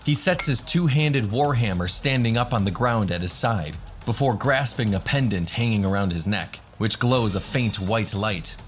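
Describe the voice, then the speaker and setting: A man with a fairly low voice narrates a fantasy story as game master. The delivery is scripted storytelling, set over faint background music. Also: animated, moderate pace, hardly any pauses.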